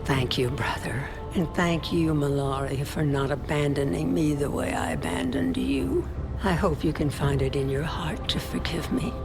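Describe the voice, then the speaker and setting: A gentle creator goddess speaks with a soft, gravel-edged voice. She sounds regal, loving and earnest in a fantasy game cutscene, with music playing behind her. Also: low voice, lively intonation. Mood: tender, grateful. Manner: earnest, measured, tender.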